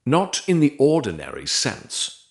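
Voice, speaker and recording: A man narrating dramatic romance fiction in a measured, deliberate way, in short phrases with pauses. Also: medium pitch, wildly swooping pitch. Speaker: audiobook narrator.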